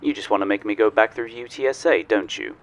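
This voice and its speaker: A man's voice: fairly low pitch, fast, natural voice. A man playing a genial, wry researcher in a sci-fi audio drama, speaking politely and a little formally, with playful touches. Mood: obliging, playful. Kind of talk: audio drama acting, conversational.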